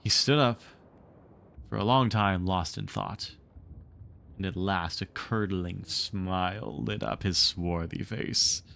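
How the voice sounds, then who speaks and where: A man reading a story aloud as an expressive narrator, shaping each sentence for listeners. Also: deep voice, slow, vocal fry.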